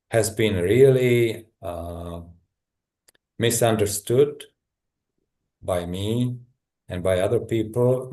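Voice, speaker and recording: A middle-aged man from Czechoslovakia with a Czech accent, a guest telling his story in a halting, thoughtful way with many restarts. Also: lowish voice, darkish tone. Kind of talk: personal story in a conversation.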